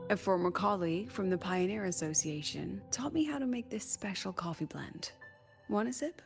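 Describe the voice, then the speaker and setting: An actress playing a weary, wry academy president in a video game, sly and chatty, talking conversationally with sighs and coy pauses. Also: female voice, medium pitch, expressive, gravelly. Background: background music.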